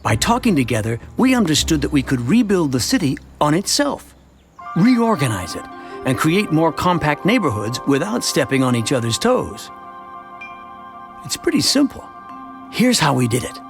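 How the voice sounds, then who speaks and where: Expressive, higher-voiced suburban family man. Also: moderate pace, creaky, soft background music. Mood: upbeat, proud. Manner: conversational, expressive storytelling. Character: chatty, earnest suburban family man telling his town's story. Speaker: narrator. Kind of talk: scripted first-person narration for an animated educational short.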